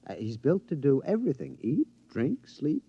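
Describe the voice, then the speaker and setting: An actor playing a henpecked husband with a gleeful secret scheme in an old radio drama, excitable, stammering and conspiratorial. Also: male voice, medium pitch, moderate pace, slightly rough, no echo. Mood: smug, delighted. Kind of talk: mid-century radio drama acting. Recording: dull, noisy vintage radio recording.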